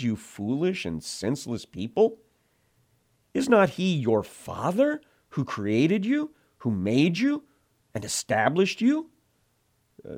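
Male bible teacher, slow deliberate delivery, lowish voice, many uh fillers, scripture read with rising pitch. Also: a little mellow. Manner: frequent "uh" fillers while explaining.

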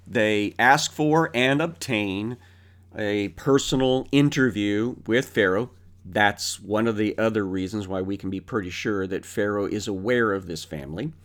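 A man teaching a Bible lesson unscripted, thinking aloud and pausing often with filler sounds. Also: on the low side, a bit bright.

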